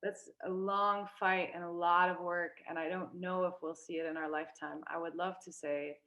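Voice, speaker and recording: Young woman, lowish voice, halting, filler-heavy, casual interview. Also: level tone, a bit bright, poor audio. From United States. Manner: hesitant, filler-heavy, many restarts. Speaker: guest.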